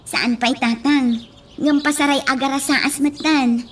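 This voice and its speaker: A woman's voice: high-pitched, fairly even intonation, measured pace, noisy background. A woman acting an affectionate, dutiful grown daughter in a radio drama. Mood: earnest, affectionate.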